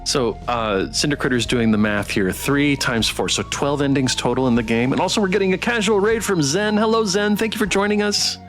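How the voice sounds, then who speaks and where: A man hosting a casual livestream interview, asking questions in a halting, appreciative way. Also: fairly high pitch, soft background music. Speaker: interviewer and stream host.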